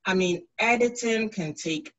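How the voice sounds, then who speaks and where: Host: woman in her 30s, bright tone, supportive interviewer on a home webcam call. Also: medium pitch, measured pace, highs cut off. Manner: warm affirming interviewer, many mm-hmms. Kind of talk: casual video-call interview. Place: room at home. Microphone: video call webcam.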